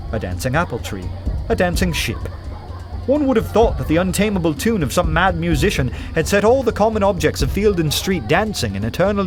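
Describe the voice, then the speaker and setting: Actor as earnest poetic detective, over music. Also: male voice, mid-range voice, close to an everyday voice. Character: earnest, poetic detective recounting his nightmarish adventure. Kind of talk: dramatic first-person radio play narration. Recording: loud music under the voice.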